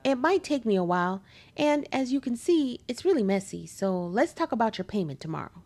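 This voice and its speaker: A hoarse woman reading the lines of a polite male cannibal housemate in a game, calm and pleasant even when threatening. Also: on the high side, fairly quick. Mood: mild.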